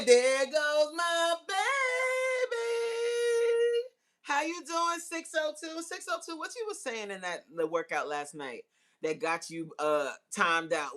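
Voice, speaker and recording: A woman's voice: on the low side, pushed and bright. A woman in her forties chatting casually with live viewers as host, slangy, teasing and giggly in manner. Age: 40s. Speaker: livestream host. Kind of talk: casual chat with live viewers reading comments.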